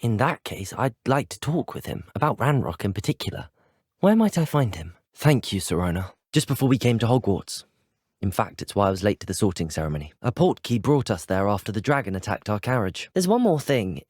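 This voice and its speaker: A man's voice: lowish voice, sing-song, a little fast, darkish tone, slightly rough, no room sound, clean studio sound. A young man with a British accent voicing a polite, earnest, brave teenage wizard student in scripted video game dialogue, well-spoken and courteous. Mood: earnest, serious.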